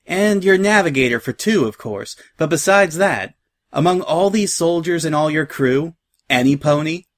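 A man's voice: on the high side, lively intonation, normal speed, no room sound, pitched above the performer's own voice, lightly acted. A man voicing a shrewd, sardonic young female unicorn in a storybook, with pointed, dry questioning.

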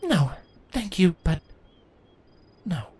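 A man's voice: high-pitched, big pitch swings, very slow, husky, pitched above the performer's own voice, heavily acted. Twilight, a man voicing a grieving young queen. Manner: tired then bitter, breaks into angry shouts. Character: young grieving pony queen, weary, fiery.

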